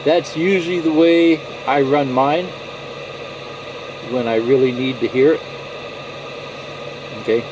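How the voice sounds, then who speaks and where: A man's voice: medium pitch, steady tone, slow, long pauses. A man giving a casual, unscripted how-to demonstration in a folksy, reassuring way, often checking in with small tags like "okay". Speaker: presenter of a CB radio how-to video. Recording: clearly noisy, with static and hum. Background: CB radio static hiss.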